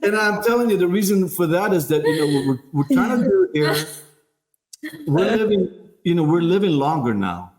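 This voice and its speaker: A man's voice: high voice, very animated, normal speed, darkish tone, echoey room. A man from the Philippines hosting a podcast, asking questions in a chatty way with many fillers. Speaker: podcast host. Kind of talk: casual interview chat.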